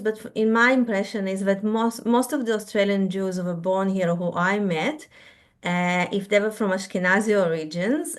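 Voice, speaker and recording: A middle-aged woman with an Israeli accent, an author on a panel, choosing her words with care. She often stops and restarts her sentences, and her voice sits at an ordinary middle height. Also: mid-range voice. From born in Russia, raised in Israel. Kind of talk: panel discussion.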